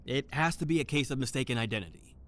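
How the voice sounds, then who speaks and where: An actor playing an earnest, trusting, soft-hearted detective in a noir-style audio drama, a little hesitant. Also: male voice, medium pitch, fast talker, close to an everyday voice. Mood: doubtful, defensive. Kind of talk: scripted noir-style audio drama with first-person narration.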